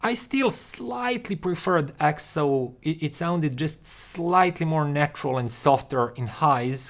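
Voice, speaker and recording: Casting: male reviewer, thirties, mid-pitched voice, casual to-camera product talk. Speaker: audio gear reviewer. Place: small indoor room with acoustic panels.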